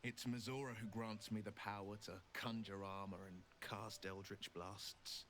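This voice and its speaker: A man's voice: on the low side, steady tone, unhurried, fairly bright tone, faint music behind. A man playing a noble, burdened young monster hunter in a video game, solemn and declamatory. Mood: solemn, proud.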